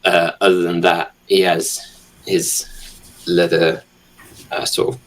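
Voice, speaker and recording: A British man improvising descriptions as a player in a tabletop roleplaying show, hesitant and measured. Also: low voice, completely flat delivery, fairly bright tone, rough recording. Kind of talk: improvised description of a character's belongings.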